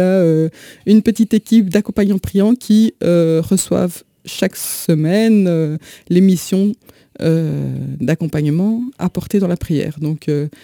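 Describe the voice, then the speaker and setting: Very mellow, soft-edged woman guest. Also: fairly low pitch, dry recording, studio quality. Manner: hesitant, often repeating words. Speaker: interview guest, association volunteer. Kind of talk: personal anecdote and explanation in an interview.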